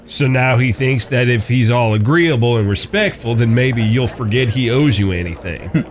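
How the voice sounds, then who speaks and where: An actor playing a proper, easily affronted cowboy brother in a Western audio drama, earnest and slightly formal. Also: male voice, medium pitch, normal speed, close to an everyday voice.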